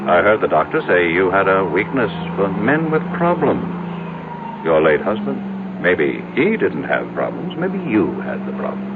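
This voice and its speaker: An arrogant tycoon, deep, theatrically swooping. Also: male voice, wildly swooping pitch, measured pace, exaggerated voice. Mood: boastful, probing. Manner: pompous, speechifying. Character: arrogant, self-assured rich male developer, boastful and defiant. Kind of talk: old-time radio drama acting. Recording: tinny, noisy vintage radio broadcast.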